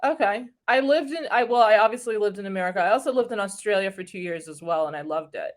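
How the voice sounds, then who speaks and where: A woman from New York City chats in a friendly, easygoing way, at a middle pitch for a woman. She sounds like an online tutor talking with a student over a video call. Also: animated, fast. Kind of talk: casual conversation.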